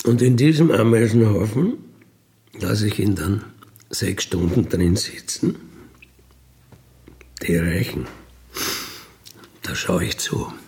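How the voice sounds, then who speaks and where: A man with a gravelly voice, recounting in a blunt, matter-of-fact, colloquial way with emphatic tag questions. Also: fairly low pitch, slow, pauses often, mellow. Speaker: ex-convict telling his experiences. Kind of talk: colloquial spoken monologue, recounting.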